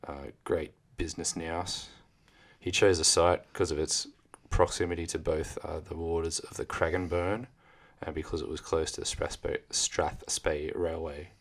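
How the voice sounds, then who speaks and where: Very deep-voiced Australian man, laid-back. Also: little pitch movement, normal speed. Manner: laid-back, swears freely, reads facts in a low mumble. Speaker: podcast co-host. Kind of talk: casual chat over whisky tasting. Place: small home studio with barrel backdrop. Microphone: boom studio mic with headphones.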